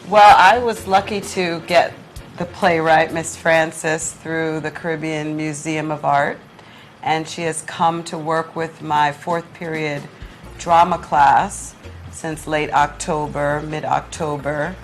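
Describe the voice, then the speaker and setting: A woman's voice: deep voice, flat delivery, takes it slowly, faint music behind. A woman speaking conversationally as a studio guest, a drama teacher answering interview questions. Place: small internet show studio.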